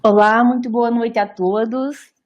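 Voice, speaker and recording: A young Brazilian woman speaks with a Brazilian Portuguese accent, presenting on nutrition in a friendly, explanatory tone. Her voice sits a little high, and she leans on fillers as she goes. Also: steady tone, a little slow, muffled recording, background noise. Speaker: nutritionist giving a talk. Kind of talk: online presentation.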